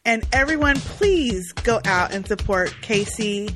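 A woman's voice: mid-range voice, animated, measured pace, over music. A woman hosting a casual podcast interview, speaking in an effusive, emphatic way and often repeating herself. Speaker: podcast host.

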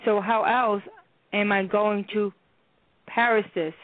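Woman doing a strict lady therapist, slow measured words, tinny phone-line radio sound. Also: mid-range voice, steady tone, no echo, natural voice. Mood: stern. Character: stern female counselor and therapist, adult. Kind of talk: amateur radio play reading.

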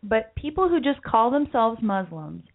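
A woman's voice: a little high, big pitch swings, moderate pace. A woman hosting a political talk podcast, with a light voice she calls mousy, earnest and often repeating words as she thinks aloud. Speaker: podcast host. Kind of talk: political talk show discussion. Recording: phone-like lo-fi audio.

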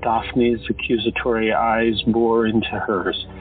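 A man narrating an audio drama in a steady storytelling manner. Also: on the low side, slow pace. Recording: tinny phone-like sound, faint music.

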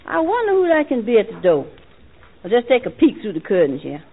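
A prim, wary lady of the house from a 1940s radio comedy. Her high voice lilts widely as she puts on airs. The old recording is narrow and tinny. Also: huge pitch swings, a little fast, background noise. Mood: wary, dismissive. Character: prim, wary woman at home, putting on modest airs.

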